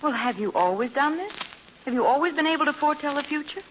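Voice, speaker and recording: Quick, wry sleuth's companion. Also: female voice, sits high, lively intonation, slight background noise. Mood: curious. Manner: wry, inquisitive. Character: bright, wry lady companion to a detective. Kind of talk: 1940s radio drama acting. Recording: muffled old-time radio recording.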